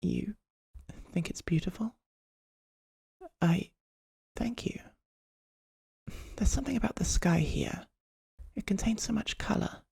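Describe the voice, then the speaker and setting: A performer acting as a polite, poetic vampire artist in an ASMR roleplay. The voice is very breathy and husky, crackling with heavy fry. It stays soft, often near a whisper, with a shy, gently formal manner. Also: medium pitch, slow, long pauses, heavily acted. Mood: touched, wondering.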